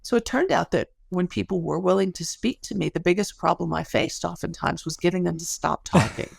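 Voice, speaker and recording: A woman's voice: lowish voice, a little fast, creaky, slightly rough, no room sound, clean studio sound. A woman being interviewed as an academic researcher, telling stories conversationally with colloquial asides and vivid phrasing.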